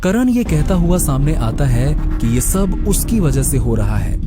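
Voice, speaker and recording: A man giving dramatic scripted narration of a horror story. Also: medium pitch. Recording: prominent music under the narration.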